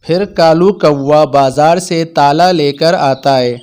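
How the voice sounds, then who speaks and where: High-voiced man narrating a children's story. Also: slow, darkish tone, smooth.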